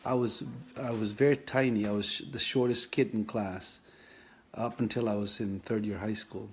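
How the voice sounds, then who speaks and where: A man, recalling his childhood in a reflective personal vlog, thinking aloud with many pauses and restarts. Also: on the low side, fairly even intonation, slightly rough. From Philippines. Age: 50s. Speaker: vlogger sharing his kidney story.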